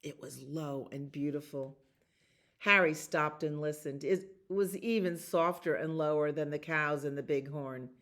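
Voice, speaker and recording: Woman, low pitch, slow pace, children's storybook reading, expressive and deliberate storyteller. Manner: expressive, deliberate storytelling with drawn-out repeated words. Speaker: librarian reading stories for children. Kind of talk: children's storybook read-aloud.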